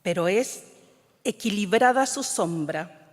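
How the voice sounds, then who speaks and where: A woman poet from Chile whose mother tongue is Mapudungun, reading her poems in a measured, solemn way, often letting lines fade into a whisper. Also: medium pitch, animated. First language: Mapudungun (Huilliche). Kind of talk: slow, solemn poetry reading. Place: large conference hall. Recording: long reverb of a large hall.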